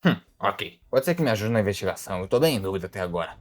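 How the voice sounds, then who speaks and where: A man playing an impatient alligator detective in an animated mystery, questioning bluntly with a Brazilian accent. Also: lowish voice, very fast. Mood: doubtful. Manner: questioning, exclamatory. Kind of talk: animated murder-mystery dialogue.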